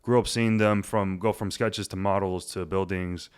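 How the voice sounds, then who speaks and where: A man in his twenties, born in Cuba, talking casually as an interview guest with a relaxed, rambling manner and many fillers. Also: low voice, normal speed, smooth. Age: 20s. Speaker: guest, realtor.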